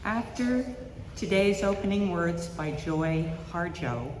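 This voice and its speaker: A service leader speaking to a congregation in a measured, deliberate way, giving announcements and readings. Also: medium pitch, lively intonation, a little mellow. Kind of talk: church announcements and solemn poetry reading. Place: church sanctuary during a service. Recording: echoey hall, distant mic, faint noise.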